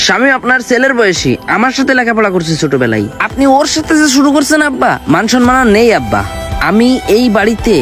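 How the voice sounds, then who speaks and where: A man's voice: very high-pitched, sing-song, quick pace, a bit bright, faint music behind, heavily acted. A young man with a rural Bangladeshi accent playing a reproachful, pleading son in an amateur drama. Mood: hurt, reproachful. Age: young adult. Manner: reproachful, insistent. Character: upset, pleading young son scolding his smoker father. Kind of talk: amateur Bengali short drama acting.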